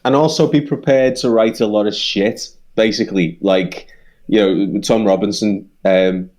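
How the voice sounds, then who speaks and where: A man's voice: fairly low pitch, steady tone, normal speed, a little mellow. A man with a Liverpool accent, a songwriter co-hosting a podcast, talking earnestly and repeating himself as he finds his words. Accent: Liverpool English. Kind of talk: casual chat.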